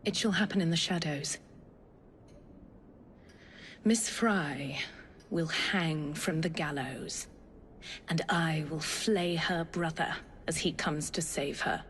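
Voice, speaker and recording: An actress playing a ruthless, vengeful Victorian Templar woman in a video game, menacing and deliberate, with a British accent. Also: sits low, fairly bright tone, a little hiss or hum. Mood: menacing resolve. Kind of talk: dramatic game cutscene acting.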